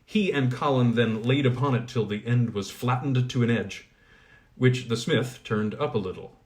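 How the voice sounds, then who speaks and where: A man narrating a fairy tale aloud in a measured, expressive reading. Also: medium pitch. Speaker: audiobook reader. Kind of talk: storybook narration.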